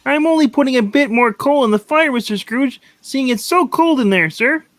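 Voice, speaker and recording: Squeaky, timid male clerk for a radio play: very high voice, deferential, eager to please. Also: lively intonation, moderate pace, fairly bright tone, no room sound. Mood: meek, eager. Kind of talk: dramatic play reading, radio-play style.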